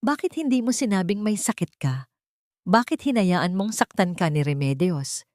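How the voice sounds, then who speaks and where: A woman's voice: mid-range voice, expressive, normal speed, a little mellow, dry recording, natural voice. Embittered man, played by a woman, commanding, emotional, audiobook drama, dry recording. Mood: stern, concerned. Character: embittered wheelchair-bound man, frightened and softening.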